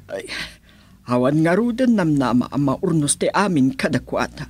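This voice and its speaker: A man's voice: a little high, very animated, normal speed, slightly rough. A man acting an anxious, pleading parent-in-law in an Ilocano radio drama.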